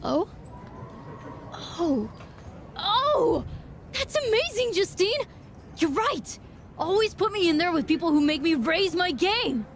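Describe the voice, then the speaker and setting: A bubbly, naive young woman wrestler in a game cutscene. Her voice is extremely high and girlish, gushing with innocence, with some room ambience behind it. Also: big pitch swings, slow pace, pauses often, a little hiss or hum, full character voice. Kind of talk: animated video game dialogue.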